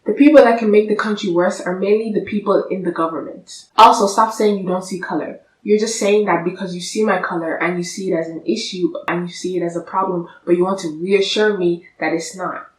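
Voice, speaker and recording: A woman's voice: fairly low pitch, steady tone, a little fast, few pauses. Young woman lecturing the camera, kinda sassy and blunt, echoey room, mic a bit far off. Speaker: vlogger. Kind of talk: direct-to-camera vlog. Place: room indoors, seated on a sofa. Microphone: camera at a distance.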